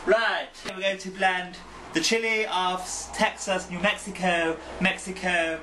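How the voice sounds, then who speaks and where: A man giving a comic cooking demonstration with a deadpan, mock-pompous manner. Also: sits high, measured pace, a little hiss or hum. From England. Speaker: home cook. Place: home kitchen. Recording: distant mic, loud kitchen sizzle. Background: frying food sizzling.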